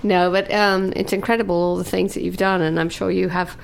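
Gravelly-voiced British woman co-host. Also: fairly low pitch, level tone, fairly quick, a little hiss or hum, rough recording. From Britain. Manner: agreeable, giggly, encouraging. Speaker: radio co-host. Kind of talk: casual studio chat. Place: radio studio.

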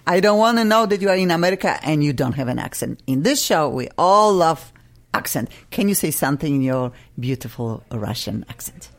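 A woman's voice: low-pitched, animated, a little fast. A woman with a Polish accent hosting a radio talk show in a studio, chatty and playful, laughing often. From Poland. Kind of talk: lively radio chat. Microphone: studio microphone.

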